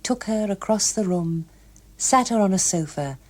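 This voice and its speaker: A British woman narrating a literary story as an expressive audiobook reader. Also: mid-range voice, moderate pace.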